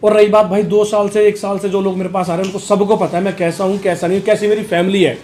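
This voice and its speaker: A man's voice: sits high, little pitch movement, fast talker, a little mellow, echoey room, slight background noise. A man giving an unscripted, defensive and accusatory rebuttal, often repeating himself. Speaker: vlogger.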